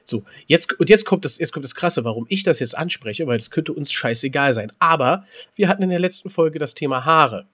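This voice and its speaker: A man's voice: fairly high pitch, lively intonation, a little fast, fairly bright tone. A man chatting casually as a podcast co-host, telling stories in a colloquial, emphatic way. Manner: colloquial, emphatic, mild swearing. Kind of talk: casual podcast chat and storytelling.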